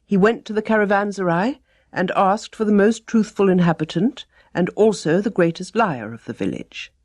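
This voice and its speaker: A woman reading teaching stories as scripted narration, measured and deliberate. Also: fairly low pitch, darkish tone, no echo, clean studio sound. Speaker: storyteller reading teaching tales.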